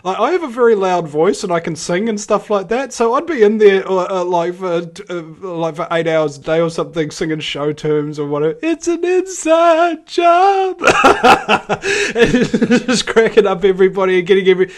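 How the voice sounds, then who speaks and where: A man's voice: high-pitched, expressive, normal speed. A man hosting a call-in talk show, joking and playful in his banter. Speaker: host.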